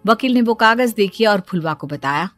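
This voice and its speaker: A woman's voice: medium pitch, expressive, moderate pace, soft background music. A woman giving scripted narration of a dramatic family story.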